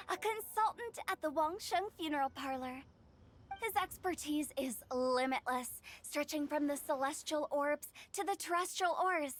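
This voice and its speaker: High-voiced, theatrical, mischievous girl director. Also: a bit bright. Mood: grandiose. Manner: theatrical, teasing, declamatory. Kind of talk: animated video game voice acting.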